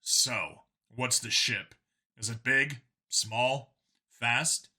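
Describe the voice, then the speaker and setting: A calm, commanding space cop acted by a male narrator, with a forceful, sharp voice. He talks curtly and with dry confidence, as a hero in a science fiction audiobook. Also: mid-range voice, drawn-out words, slightly rough, full character voice. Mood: curious. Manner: curt, dry, authoritative. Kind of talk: audiobook character voice.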